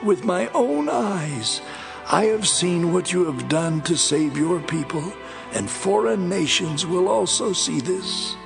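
An actor playing a devout, moved elderly prophet in a Bible audio drama, fervent and solemn. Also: male voice, high voice, expressive, vocal fry, over music, heavily acted. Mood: reverent joy. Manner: slow, fervent, declamatory.

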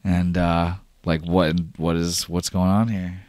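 A man's voice: low-pitched, level tone, slow, darkish tone. A man running a tabletop game as game master, narrating in a casual, rambling, profane way over a voice call.

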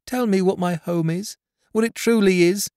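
A man's voice: sits high, normal speed, a little mellow, clear, resonant tone, dry recording, clean studio sound, pitched above the performer's own voice. A man voicing a young blind woman who pleads in a raised, strained voice. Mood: pleading.

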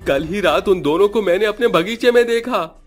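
Cartoon older villager: agitated, aggrieved, pitched far up, music underneath. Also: male voice, moderate pace. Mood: urgent warning. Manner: exclamatory, aggrieved. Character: agitated, indignant older village man with a berry orchard.

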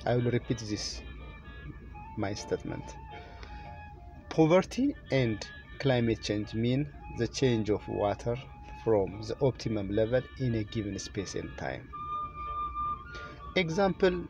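A middle-aged man from Ethiopia with an Ethiopian accent gives a formal, deliberate prepared speech to camera inside a car. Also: on the low side, animated, a little mellow, soft background music, rough recording. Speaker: presenter, children's author.

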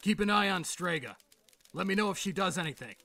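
A man playing an exasperated, short-tempered veteran police boss in a radio drama, barking and sarcastic with a gravelly, harsh voice. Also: sits high, animated, brisk, bright, forceful tone, full character voice. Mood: curt, irritated.

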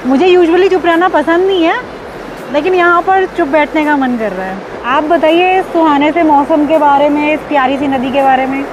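High-voiced, playful woman vlogger. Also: moderate pace, background noise. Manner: giggly, teasing, informal. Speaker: travel vlogger. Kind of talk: casual, playful vlog chat. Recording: outdoor vlog with music underneath.

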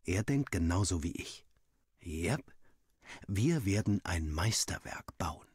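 A man reading a first-person story as a narrator, acting out the dialogue in an animated way. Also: sits low, slow pace, husky, dry recording, clean studio sound. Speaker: audiobook reader.

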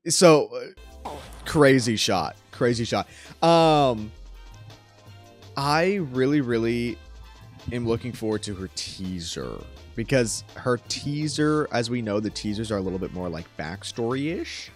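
A man's voice: medium pitch, lively intonation, unhurried, soft background music. A young man doing casual, enthusiastic and rambling reaction commentary as a streamer. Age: young adult. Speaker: streamer reacting to a game trailer. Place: small room at home. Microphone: close desk microphone.

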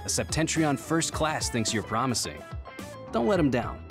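An actor playing a composed, dutiful platoon officer in an anime game, measured and instructive. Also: male voice, medium pitch, very animated, over music. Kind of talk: anime video game dub acting.

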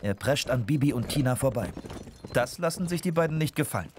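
A man narrating a children's audio drama from a script in an expressive storytelling manner. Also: mid-range voice, brisk, background noise.

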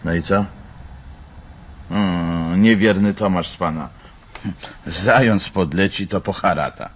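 An actor playing an evasive, scornful engineer at the wheel in a radio drama, talking in a colloquial, mocking way. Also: male voice, sits low, slow pace, breathy edge, exaggerated voice. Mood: mocking, dismissive. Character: evasive, scornful male engineer and driver brushing off worries. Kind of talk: naturalistic Polish radio drama acting.

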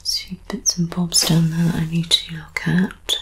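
A woman playing a composed British crime scene officer in an ASMR roleplay. Her voice is lowish and creaky, often dropping to a whisper, and she takes her time in a methodical way. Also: monotone, exaggerated voice. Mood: methodical, focused. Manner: soft, measured, partly whispered. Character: brisk, methodical female crime scene investigator.

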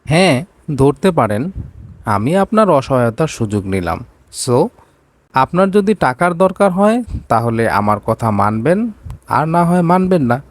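A man's voice: a little high, big pitch swings, unhurried, pauses often, no room sound. A man voicing a curt, commanding rich boss in a storybook romance, slipping into English. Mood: cold, irritated. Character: cold, domineering rich male boss in a romance.

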